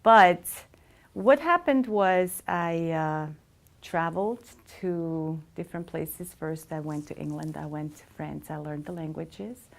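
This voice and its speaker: A woman's voice: low-pitched, slow, vocal fry. A middle-aged woman from Switzerland, telling her life story in an interview with frequent hesitations. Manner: reflective, many hesitations. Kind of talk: casual storytelling.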